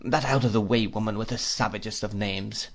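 A man voicing a brisk, strong-willed elderly aunt in an audiobook, speaking decisively and with emphasis. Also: lowish voice, steady tone. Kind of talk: audiobook character reading.

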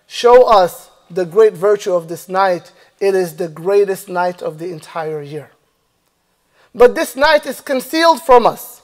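High-voiced imam preaching in a reverberant mosque. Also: male voice. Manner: measured preaching, recites Arabic verses rapidly then translates slowly. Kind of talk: Friday sermon. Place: mosque prayer hall, indoors. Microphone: stand mic and lapel mic. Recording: long hall reverb.

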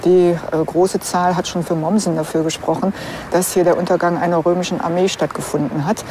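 A woman's voice: on the low side, flat delivery, brisk, mellow, slight background noise. A woman speaking as an archaeologist, explaining in a matter-of-fact interview style.

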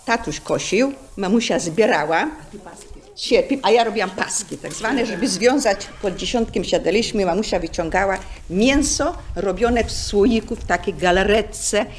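A woman's voice: mid-range voice, animated, normal speed, slight background noise. A woman in her sixties or older, telling childhood memories like a story. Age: 60s or older. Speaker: senior sharing memories. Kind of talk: storytelling reminiscence.

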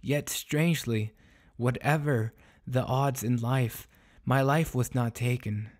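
A man's voice: medium pitch, takes it slowly, darkish tone, close to an everyday voice. A man voicing a bitter, sorrowful runaway dog in a storybook soliloquy, speaking in a solemn, plaintive, formal way. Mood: anguished, ashamed.

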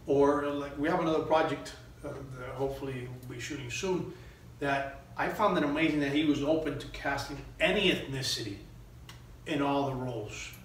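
A middle-aged man from Miami speaks as an interview guest in a halting, conversational way. The recording is very echoey and picked up from a distance, with faint noise. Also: medium pitch. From Miami, United States. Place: small indoor room.

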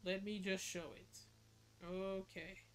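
A woman's voice: mid-range voice, flat delivery, slow, slight background noise. A young woman streamer giving casual reaction commentary and reading captions aloud.

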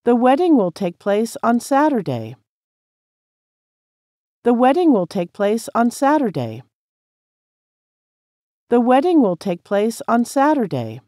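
A woman with an American accent reading English practice sentences clearly and carefully, repeating each one for learners to copy. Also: fairly high pitch, sing-song, slow, pauses often, mellow, no room sound, clean studio sound.

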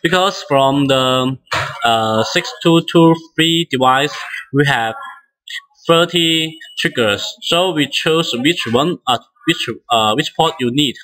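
Bright-voiced man giving a halting software tutorial. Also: a little high, poor audio. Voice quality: non-native English speaker. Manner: halting, hesitant phrasing with frequent restarts. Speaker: instructor demonstrating software.

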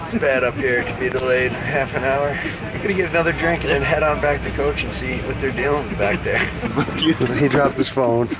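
A man's voice: medium pitch, moderate pace. A man in his thirties vlogging casually in an airport and plane cabin, joking and playful. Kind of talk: casual vlog chat. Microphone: handheld camera at arm's length. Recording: loud background noise.

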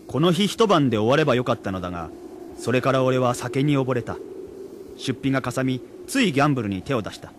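A man's voice: medium pitch, animated, fast, a little hiss or hum, natural voice. A man acting in a Japanese radio drama as a hapless, rueful salaryman who also narrates his own slide. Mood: wry, resigned. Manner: wry, resigned, theatrical when upset. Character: hapless rueful salaryman sliding into drink and debt.

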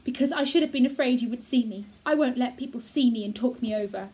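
A woman voicing a sickly, bitter bedridden young boy who speaks slowly and plaintively. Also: on the high side, warm tone, pitched above the performer's own voice, natural voice.

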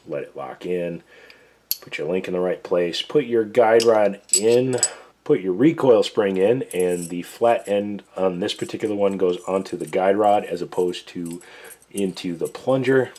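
Hoarse male host, very low voice, plain-spoken workbench demo. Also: a little mellow, a little hiss or hum. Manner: plain-spoken, step-by-step instructions. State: losing his voice, hoarse. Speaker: gun reviewer, show host. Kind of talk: casual tabletop demo. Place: indoor workbench.

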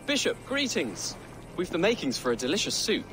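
An earnest young Saxon noble. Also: male voice, sits high, wildly swooping pitch, brisk, noisy background. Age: young adult. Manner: earnest, hopeful, softly spoken. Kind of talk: video game cutscene acting.

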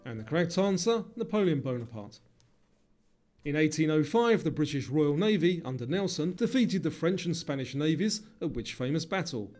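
Higher-voiced man reading quiz narration over music. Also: very animated, a little mellow. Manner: measured, formal question reading.

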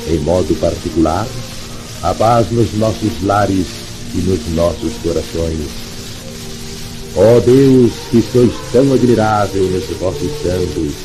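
A man with a Brazilian accent solemnly reciting prayer as a 1950s radio announcer, formal and reverent, on an old 78rpm disc. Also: fairly low pitch. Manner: formal, reverent, measured oratory. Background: music, record crackle.

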